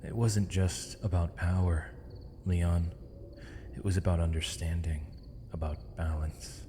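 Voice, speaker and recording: Man acting as deep, slow-spoken imprisoned mage. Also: flat delivery, background noise. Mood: earnest, hopeful. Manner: measured, formal, poetic phrasing with thoughtful pauses. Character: gentle, weary, eloquent imprisoned male mage, misunderstood villain. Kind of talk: ASMR roleplay, intimate dramatic monologue. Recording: long reverb, faint night insect ambience.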